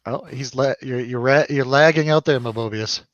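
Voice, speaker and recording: A man's voice: mid-range voice, moderate pace, fairly bright tone, dry recording, highs cut off. A man hosting a casual film chat, joking around, hesitating and trailing off. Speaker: host of a film review show.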